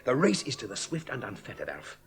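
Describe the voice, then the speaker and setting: A man's voice: medium pitch, huge pitch swings, brisk, a little hiss or hum. An actor in a 1970s radio play, playing a swaggering, boastful male aviator. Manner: swaggering, sardonic.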